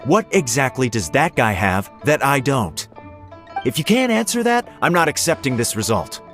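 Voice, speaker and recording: A man's voice: medium pitch, sing-song, moderate pace, a bit bright. A man voicing a frustrated, blunt young male rival in a game, impatient in how he talks. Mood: irritable. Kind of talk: game dialogue. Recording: game audio with music behind.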